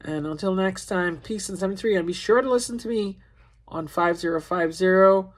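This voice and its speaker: A woman hosting a ham radio channel, casually demonstrating and reviewing a shortwave radio at home, relaxed and chatty with frequent ums. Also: medium pitch, a little fast. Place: at home by a window.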